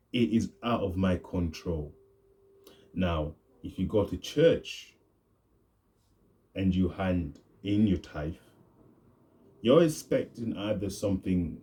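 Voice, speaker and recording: A young man, talking in a rambling, casual vlog monologue and deliberately pushing his voice deeper. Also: dark tone. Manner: deliberately deepened, laid-back voice. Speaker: vlogger.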